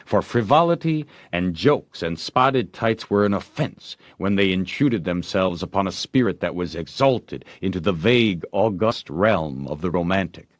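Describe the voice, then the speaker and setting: A man narrating a classic story as an audiobook, with expressive, theatrical storytelling. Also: deep voice, moderate pace, few pauses, no echo. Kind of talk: dramatic literary audiobook narration.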